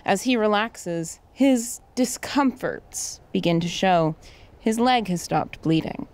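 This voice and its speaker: A woman's voice: mid-range voice, animated, slow, darkish tone. A woman reading scripted narration and stage directions for an audio drama.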